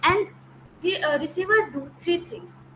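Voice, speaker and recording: A woman's voice: extremely high pitch, a little slow, bright, forceful tone, slight background noise. A woman, a Hindi native speaker, teaching an online class, explaining step by step, repeating key terms and checking whether students follow. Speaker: academic counsellor. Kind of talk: online lecture. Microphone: video call.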